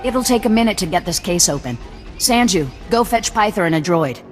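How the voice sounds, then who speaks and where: An actress playing a hard-nosed, distrustful resistance leader who gives clipped, commanding orders. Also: female voice, mid-range voice, animated, fairly quick, soft background music, close to an everyday voice. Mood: stern. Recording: echoey game cutscene with loud score.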